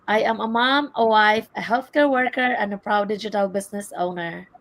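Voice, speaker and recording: A woman's voice: medium pitch, fairly quick, a bit bright, dry recording. A woman with a Filipino accent introducing herself as a panelist on a livestream. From Philippines. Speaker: healthcare worker, panelist.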